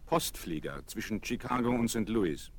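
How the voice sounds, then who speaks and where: A man acts out a young pilot with a fairly low voice, breezy and sure of himself. He answers with dry irony, in radio-play style, over faint vinyl noise. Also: animated, moderate pace, lightly acted. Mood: wry, unflappable. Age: young adult. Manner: breezy, dryly ironic, unflappable. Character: self-assured, wry young male aviator. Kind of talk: German children's radio play acting.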